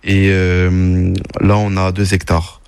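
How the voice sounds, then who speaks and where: Young farmer with a low flat voice. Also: male voice, slow pace, clean tone, no echo. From Corsica. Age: young adult. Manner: hesitant, many fillers. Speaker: farmer interviewed as guest. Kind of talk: casual radio interview answers.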